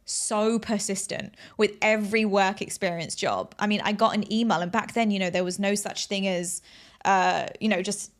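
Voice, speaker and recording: A woman's voice: mid-range voice, fairly even intonation, normal speed. A woman speaking as an interview guest, telling personal stories conversationally in an earnest, emphatic, motivational way. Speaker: interview guest, aspiring TV presenter telling career story.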